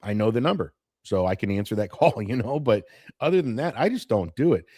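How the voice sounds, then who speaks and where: A man's voice: lowish voice, lively intonation, fairly quick, a little mellow, husky, no echo, clean studio sound. A man in his late forties hosting a morning live stream, chatting to viewers in a loose, rambling way about how things have changed. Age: 40s. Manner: chatty, nostalgic, wanders off topic. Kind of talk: casual rambling chat to viewers.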